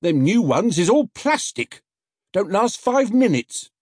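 A man's voice: high-pitched, sing-song, unhurried, a little mellow, husky, no room sound, clean studio sound, pitched above the performer's own voice, full character voice. A man voicing a blunt, folksy male market onlooker in an audiobook. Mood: approving.

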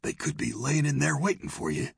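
A man voicing a cranky, frightened older saloon owner in a western audiobook, with a raspy, strained, folksy drawl. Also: very animated, moderate pace, no echo, clean studio sound. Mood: darkly anxious. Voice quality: raspy, high-pitched strained voice. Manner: folksy Old West drawl, pleading. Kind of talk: western audiobook character dialogue.

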